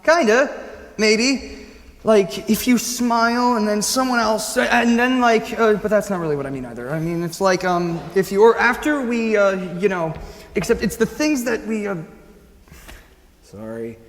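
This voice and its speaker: A man's voice: high voice, lively intonation, normal speed. A man playing an awkward, earnest, kindhearted teenage boy in a stage musical, speaking hesitantly and rambling with stammers. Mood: flustered, searching. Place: school auditorium staged reading. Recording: echoey auditorium, piano music behind.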